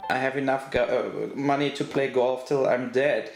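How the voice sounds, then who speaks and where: A man's voice: medium pitch, steady tone, moderate pace, over music. A man talking off the cuff in a blunt, crude manner. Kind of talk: unscripted talk in an archive clip. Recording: roomy, echoing archive clip.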